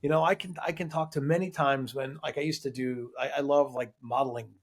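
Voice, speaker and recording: A man with a slightly husky, mid-pitched voice hosts a casual podcast interview. He backs up his guest with frequent short agreements and shares his own stories in an easy, conversational way. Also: fairly even intonation, fairly quick. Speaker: podcast host.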